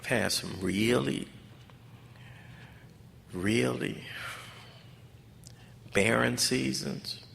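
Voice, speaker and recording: A man's voice: mid-range voice, extremely slow, gravelly, long pauses, a bit bright. An American man giving a personal testimony speech at a convention, preacher-like and emphatic, repeating phrases in colloquial English. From Los Angeles, United States. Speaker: speaker at a recovery fellowship convention. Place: large conference hall. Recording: echoey hall PA with background noise.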